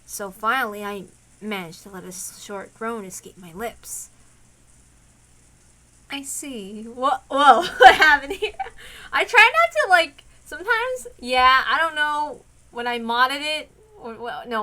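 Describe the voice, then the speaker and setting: A woman's voice: fairly high pitch, very animated, moderate pace, pushed and bright. A woman streaming a visual novel read-aloud, moving between narration and informal, rambling asides where she interrupts herself. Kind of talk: casual chat and story read-aloud.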